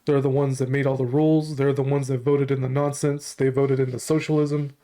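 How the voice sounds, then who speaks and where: A man's voice: mid-range voice, flat delivery, a little fast, mellow. A man hosting his own channel, giving casual, rambling commentary to camera with frequent ums and restarts, still carrying a slight cough and some congestion.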